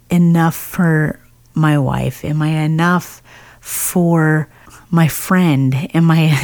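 Low, slow-talking woman podcast host. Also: creaky, no room sound. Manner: earnest, encouraging, addresses listener as friend; scripted upbeat intro, then relaxed musing talk. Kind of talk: faith-based podcast talk.

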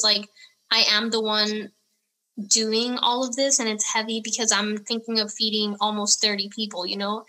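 Woman, interview guest, higher pitch, forward bright tone, casual, informal, filler-heavy phrasing. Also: rough recording. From born in Laredo, Texas, raised between there and Central America. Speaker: interview guest, homelessness activist. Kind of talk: casual chat.

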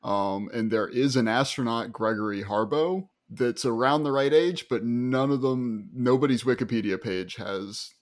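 A man's voice: mid-range voice, moderate pace, few pauses. Giggly man telling a nerdy story. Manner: laughs often, nerdy storytelling. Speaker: podcast guest. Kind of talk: casual group podcast chat.

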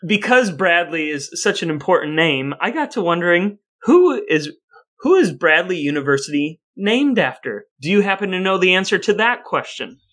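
Bright-voiced man hosting a podcast, mock-grandiose. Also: sits high, very animated, moderate pace. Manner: mock-grandiose, wordy phrasing. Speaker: podcast host. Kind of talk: scripted podcast intro and banter with his brother.

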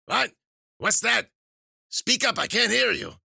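A man voicing a crazy old witch woman in an audiobook, a gleeful, crude bully who taunts and cackles loudly. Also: medium pitch, huge pitch swings, normal speed, vocal fry, husky, no echo, studio quality, pitched above the performer's own voice, full character voice. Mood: taunting.

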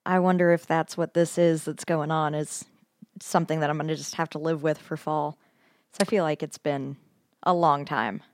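A woman's voice: low voice, fairly even intonation, moderate pace, darkish tone, no echo, clean studio sound. A woman co-hosting a casual podcast, still getting over a sinus infection, her voice going in and out, blunt and swearing casually. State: recovering from sinus infection, voice goes in and out. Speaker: podcast co-host. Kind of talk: casual chat.

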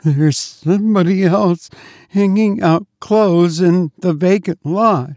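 A man's voice: high voice, takes it slowly, a little mellow, no echo, studio quality, voice pushed up. A man voicing a terrified housemaid in a storybook reading, gasping, faint and hesitant with horror. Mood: faint, shocked.

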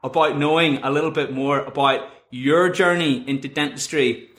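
A man's voice: on the high side, normal speed, a bit bright. An upbeat man hosts a casual podcast chat, his talk loose and colloquial. His voice rings with the echo of the room he sits in. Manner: chatty, upbeat, British colloquial. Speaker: podcast host. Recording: echoey room.

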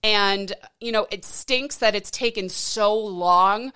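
30s woman podcast host, bright crisp voice, earnest and encouraging, restarts a lot. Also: mid-range voice, takes it slowly. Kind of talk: casual interview chat.

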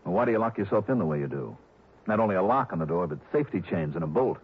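An actor playing a tough, skeptical insurance investigator with a clipped, sardonic delivery in 1960s radio detective style. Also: male voice, low-pitched, expressive, very fast, breathy edge. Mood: sardonic, pressing. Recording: muffled narrow-band vintage radio recording.

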